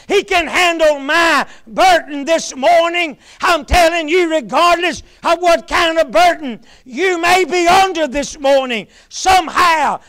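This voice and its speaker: A middle-aged preacher with a very high voice and a bright, forceful tone. He speaks slowly from a church pulpit, swelling to shouts and then falling to near whispers. The sound comes from a small sanctuary. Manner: preaching in rising shouts, then dropping to a hush. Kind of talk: sermon.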